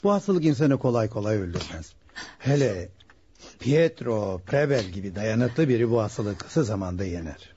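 An actor playing a reassuring, matter-of-fact doctor with a husky voice in a dramatic radio play. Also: male voice, fairly low pitch, expressive, normal speed, mellow, highs cut off, slight background noise, natural voice.

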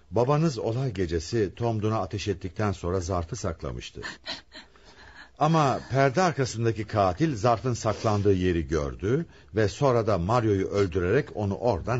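An actor playing a confident, probing police commissioner with a husky voice and an authoritative manner in a radio play. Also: male voice, fairly low pitch, sing-song, normal speed, lo-fi sound, a little hiss or hum. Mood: assured, explanatory. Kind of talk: dramatic radio play acting.

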